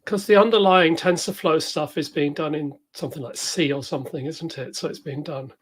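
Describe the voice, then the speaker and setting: A woman's voice: deep voice, brisk, slightly rough. A woman with an English accent chatting casually as co-host of a technical workshop, tentative and thoughtful, often rephrasing as she goes. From England. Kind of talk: casual chat during a live technical workshop.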